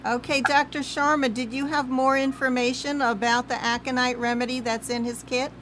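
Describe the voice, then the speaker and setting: A woman hosts a live interview, asking friendly, encouraging questions. Her voice sits a little higher than average. Faint background noise runs under the video call. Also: little pitch movement, moderate pace, fairly bright tone. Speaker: host.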